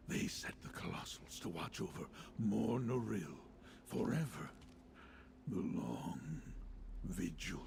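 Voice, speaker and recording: An actor playing a solemn, ancient male lore keeper in a fantasy game, speaking formally and portentously with a gravelly, breathy voice. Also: low-pitched, exaggerated voice. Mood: mournful. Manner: measured, portentous, formal storytelling. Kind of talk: fantasy video game voice acting. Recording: faint background noise, game ambience.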